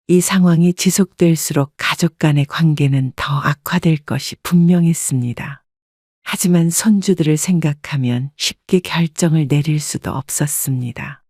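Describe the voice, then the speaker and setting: A woman calmly narrating a first-person life story in a measured, scripted way. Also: sits low, dry recording, studio quality.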